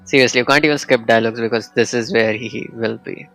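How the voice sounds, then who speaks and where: A man's voice: mid-range voice, steady tone, quick pace, a bit bright, soft background music, rough recording. A man streaming a game with casual, informal, off-the-cuff playthrough commentary.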